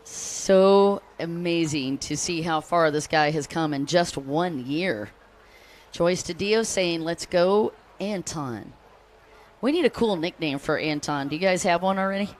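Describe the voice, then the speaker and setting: A woman's voice: on the low side, a little slow. An American woman giving live race commentary, chatty and upbeat, calling out riders and greeting fans between lap updates. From United States.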